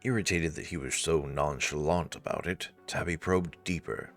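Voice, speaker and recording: Male narrator, deep pitch, story reading, informal wry asides, faint background music. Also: normal speed, fairly bright tone. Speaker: narrator and commentator.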